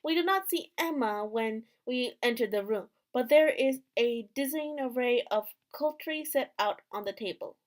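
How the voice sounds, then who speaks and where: A woman's voice: a little high, normal speed, hardly any pauses. A woman hosting a gaming video, reading a visual novel's narration aloud expressively.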